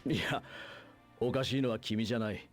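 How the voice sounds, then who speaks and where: A man playing a steady, respectful soldier and loyal comrade in an anime game, calm and courteous. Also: mid-range voice, racing, faint music behind, lightly acted. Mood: approving.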